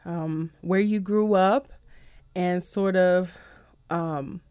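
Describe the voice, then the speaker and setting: Female radio interviewer, lowish register, conversational and complimentary, dry studio sound. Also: fairly even intonation, drawn-out words, dark tone, clean tone, dry recording. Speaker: radio host interviewing a guest.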